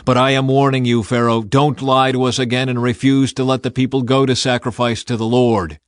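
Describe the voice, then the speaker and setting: A man's voice: medium pitch, flat delivery, a little fast, clean tone, no room sound, clean studio sound, lightly acted. A man voicing a firm, earnest prophet in a scripture audiobook. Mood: firm, warning.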